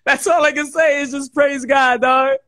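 A man's voice: very high voice, steady tone, moderate pace, pushed and bright, no echo, clean studio sound. A man hosting a casual livestream, talking and praying, exclaiming praise exuberantly. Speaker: host. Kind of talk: casual livestream chat and spoken prayer.

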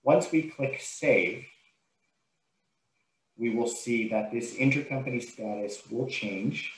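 A man giving an informal, step-by-step software tutorial as a trainer, explaining what he does on screen. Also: medium pitch, takes it slowly. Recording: roomy, echoing sound.

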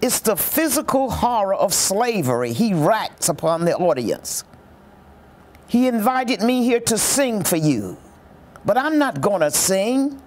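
A commentator explaining a historic speech in a reflective, expressive storytelling way. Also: mid-range voice, unhurried. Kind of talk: reflective documentary-style commentary.

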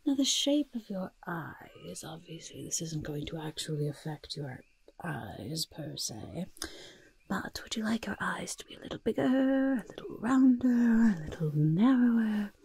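A young woman voicing a grudging, smug goblin disguise artist in a breathy, raspy, soft-spoken ASMR roleplay, muttering reassurances close to the microphone. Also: lowish voice, sing-song, unhurried, exaggerated voice. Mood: fussy, soothing. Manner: refers to self by name, mutters and repeats reassurances. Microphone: close microphone, face close to camera.

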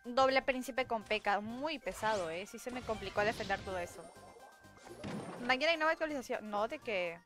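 A woman's voice: a little high, animated, moderate pace. A young woman live-streaming a game from home, chatting casually, thanking viewers and reading their messages aloud with frequent giggles. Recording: clear game music underneath. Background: game music.

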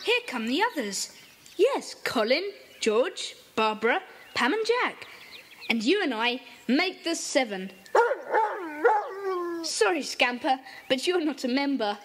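Brisk bossy boy club leader. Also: high voice, very animated, a bit bright, heavily acted. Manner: brisk, commanding, eager. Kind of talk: children's audio drama acting.

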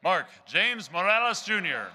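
A young man with a Texan accent announces graduates' names into a podium microphone in a large indoor arena. His voice is bright and high, with a lively rise and fall, and he calls each name loudly and deliberately. His reading stays steady and measured from one name to the next.

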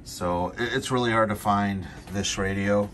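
A man's voice: fairly low pitch, measured pace, fairly bright tone, a little hiss or hum. A man giving a casual, unscripted, matter-of-fact product demonstration as the seller, on a handheld home video.

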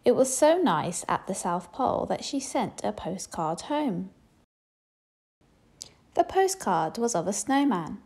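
A woman's voice: medium pitch, big pitch swings, takes it slowly, darkish tone, slightly rough. A woman reading a children's storybook aloud expressively, speaking directly to young listeners. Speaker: storybook reader. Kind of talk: children's read-aloud narration.

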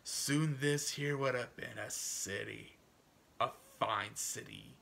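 A man reading a story aloud as a proud, stubborn old farmer, folksy and musing, with a gravelly voice that drifts into hushed asides. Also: medium pitch, exaggerated voice. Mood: dreamy, wistful. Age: older. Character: proud, wistful, stubborn old farmer dreaming for his son. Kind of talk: dramatic audiobook reading in first person.

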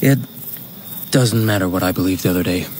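An actor playing a courteous, reserved young gentleman with a formal manner in a radio drama. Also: low voice, fairly quick, slight background noise, lightly acted. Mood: cold. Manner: formal, restrained.

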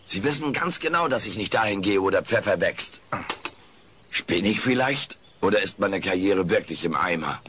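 An actor playing a wisecracking, vain, washed-up alien comedian in a comic radio play, with a sarcastic, self-important manner. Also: male voice, deep voice, animated, normal speed, background noise. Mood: indignant.

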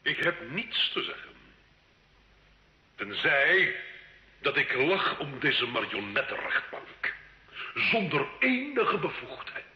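A man's voice: mid-range voice, sing-song, takes it slowly, pauses often, sharp tone, full character voice. An actor in an old radio drama playing a proud, bitter accused nobleman who declaims with indignant scorn. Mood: scornful. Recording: echoey, dull old radio recording.

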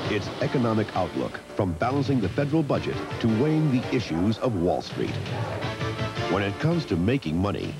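A man delivering dramatic scripted narration for a television promo. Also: lowish voice. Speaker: TV promo announcer. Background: music.

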